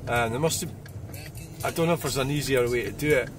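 A man's voice: medium pitch, fast, music in the background. A man, chatting and ranting while he drives, swearing freely. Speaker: driving vlogger. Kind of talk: casual driving chatter. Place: inside a car on the road.

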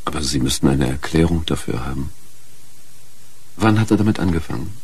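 An actor playing a patient but insistent doctor in a radio crime drama, polite, probing, with a gravelly, husky voice. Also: male voice, rumbling low, animated, a little fast, darkish tone, no echo, full character voice. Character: patient, insistent male doctor questioning a wife.

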